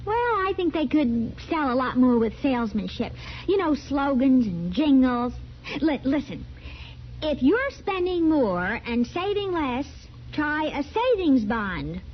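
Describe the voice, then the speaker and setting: A chirpy, teasing wife in a vintage radio ad sketch. Her voice is on the high side and very lively in melody. The sound is muffled. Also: unhurried. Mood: perky, pitching. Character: perky, skeptical wife with a salesman's zeal. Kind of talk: old-time radio advertisement sketch. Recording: muffled vintage radio recording, faint noise.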